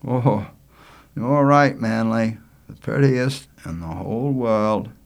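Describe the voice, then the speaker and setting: A man's voice: medium pitch, slow pace, gravelly. A man voicing an eager, earnest island boy, polite and outspoken, with folksy rustic speech in a storybook reading. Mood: agreeing.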